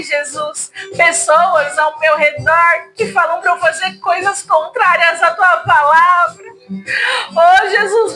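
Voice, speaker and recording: A woman's voice: very high-pitched, low-quality recording. A woman leading an emotional spoken prayer, tearful and pleading, her voice breaking as she asks for forgiveness. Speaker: prayer leader. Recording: guitar music behind. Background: soft guitar music.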